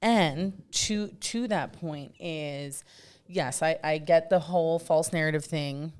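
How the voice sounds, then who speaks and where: Podcast co-host, woman, loud and outgoing, lower voice, questioning in casual chat. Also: takes it slowly, clear, resonant tone.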